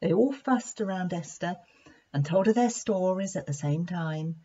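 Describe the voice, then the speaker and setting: A woman narrates a story for children with expressive, deliberate delivery. Her voice sits on the low side and has a clearly husky edge. The recording is dull and lo-fi. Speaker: play therapist reading a children's story. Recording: dull lo-fi sound.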